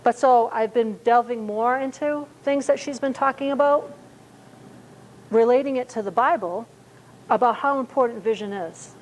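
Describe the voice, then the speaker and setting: A woman's voice: fairly high pitch, normal speed, darkish tone, slight background noise, poor audio. A woman in her fifties preaching a sermon in a conversational way, with many fillers and restarts. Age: 50s. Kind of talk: sermon at a church service.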